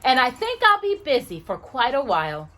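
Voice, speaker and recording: Woman voicing a tiny high-pitched sweet girl angel. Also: huge pitch swings, normal speed, sharp tone, heavily acted. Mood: cheerful.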